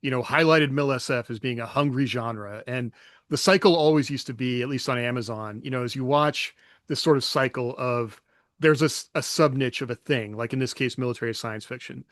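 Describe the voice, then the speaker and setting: A man co-hosts a writing podcast, thinking out loud as he talks. His voice sits at an ordinary middle pitch. The close microphone leaves almost no room sound. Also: brisk, no room sound. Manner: thoughtful, rambling with fillers. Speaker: podcast co-host. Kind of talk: casual chat.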